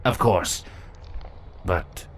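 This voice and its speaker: A man's voice: extremely slow, a little hiss or hum. A man voicing a dutiful, anxious changeling drone captain who speaks deferentially in a fantasy story reading. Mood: cautious, questioning. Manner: deferential, hesitant, nervous. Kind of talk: dramatic fan fiction audio reading.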